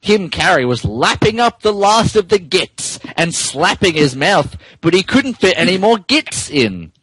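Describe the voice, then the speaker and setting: A man's voice: sits high, sing-song, a little slow, fairly bright tone, slightly rough, no echo, poor audio. A man hosting a podcast, giving a playful, mock-dramatic comic reading of a story aloud. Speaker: podcast host reading fan fiction aloud.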